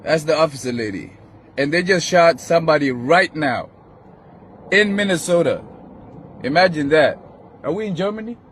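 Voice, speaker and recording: A high-voiced man with a foreign accent argues indignantly, challenging whoever he faces. He is recorded outdoors, with faint street and vehicle noise around him. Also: lively intonation, normal speed, pauses often. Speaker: rideshare driver. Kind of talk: confrontational argument caught on phone video. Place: outdoor parking lot.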